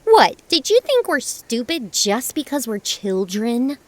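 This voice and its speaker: A woman playing a spirited, quick-witted young girl with a cheeky, challenging manner in a children's audio drama. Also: a little high, sing-song, dry recording. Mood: assertive. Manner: challenging, playful.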